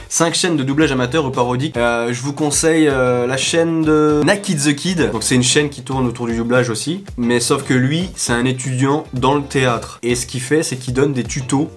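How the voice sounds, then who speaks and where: Brief: easygoing man with a medium pitch, joking and hesitant, studio mic, faint music behind. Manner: relaxed and joking, with frequent hesitations. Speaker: YouTube voice actor answering fan questions. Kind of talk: casual chat. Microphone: studio condenser mic.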